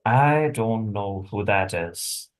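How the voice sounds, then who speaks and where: A man playing a weary, wry assassin in a screenplay table read, talking in a conversational, halting way. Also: lowish voice, animated, a little hiss or hum. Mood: defensive. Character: weary, chatty male assassin, wry under threat.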